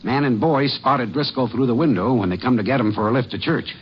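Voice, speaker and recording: An actor playing a businesslike country sheriff in a 1950s radio drama. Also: male voice, medium pitch, a little fast, lo-fi sound, lightly acted. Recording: hissy old radio broadcast.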